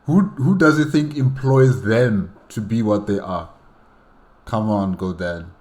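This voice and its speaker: A man's voice: lowish voice, a little slow, a little mellow. A man giving casual solo reaction commentary, asking rhetorical questions and addressing characters directly.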